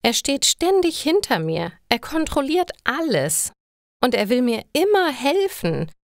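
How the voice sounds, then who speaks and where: A woman's voice: high-pitched, very animated, measured pace, dry recording, studio quality, heavily acted. A woman voicing an exasperated older housewife in a story, complaining in a dramatic, emphatic way. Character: exasperated older housewife with a newly retired husband.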